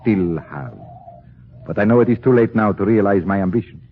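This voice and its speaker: An actor playing a grave, sinister professor with formal, measured, persuasive speech in an old radio drama. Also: male voice, on the low side, close to an everyday voice. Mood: confiding. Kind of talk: 1940s radio acting. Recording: tinny, noisy old radio broadcast.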